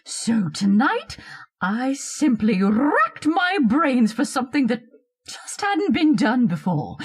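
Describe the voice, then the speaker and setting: An actress playing a pompous, bossy older society hostess in a radio play, with a theatrical, affected, self-satisfied way of talking. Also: female voice, sits high, exaggerated voice. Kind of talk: old-time radio horror-comedy acting.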